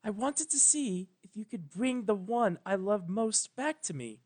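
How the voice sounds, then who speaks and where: A man voicing a high, melodic regal mare goddess. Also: animated, a little mellow. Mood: careful, tender. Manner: melodic, measured, composed. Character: regal, gentle, motherly female alicorn sun goddess. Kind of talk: fan fiction storytelling.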